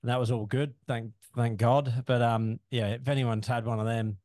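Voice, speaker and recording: A man's voice: mid-range voice, fairly even intonation, moderate pace, darkish tone, dry recording, clean studio sound. A man co-hosting a podcast, chatting in a laid-back way with lots of fillers, often ending lines with "eh?". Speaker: podcast co-host. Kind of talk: casual chat.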